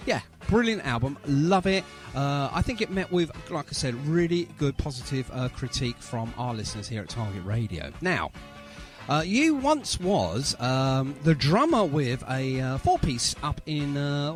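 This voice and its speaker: A man hosting a radio show with casual, jokey, teasing chat about music. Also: fairly high pitch.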